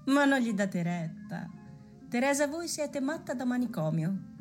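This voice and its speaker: An actress playing a worldly, mercenary madam, haughty, insistent and cutting in a theatrical radio drama. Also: female voice, high-pitched, big pitch swings, a little slow, soft background music. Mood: insistent scolding.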